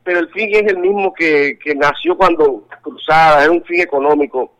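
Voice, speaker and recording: A Colombian man talks over a thin, tinny phone line. His voice sits a little high for a man, and he speaks earnestly, stopping often and repeating words while he searches for the next one. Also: normal speed, fairly bright tone. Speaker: interview guest. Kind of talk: phone interview. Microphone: telephone.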